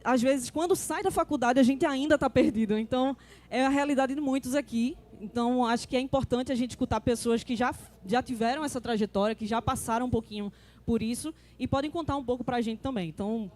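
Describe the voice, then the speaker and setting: A woman moderating a live panel on a conference stage, talking in a halting way with many fillers and restarts. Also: on the high side, a little mellow. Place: conference stage before an audience.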